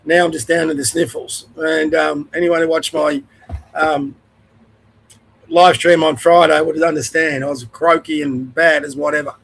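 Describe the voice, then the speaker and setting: A man's voice: a little high, flat delivery, moderate pace, vocal fry, a bit bright, rough recording. An older man with an Australian accent, hosting a blunt, rambling opinion rant to camera, nasal and sniffly from a cold, swearing and restarting as he goes. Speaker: host.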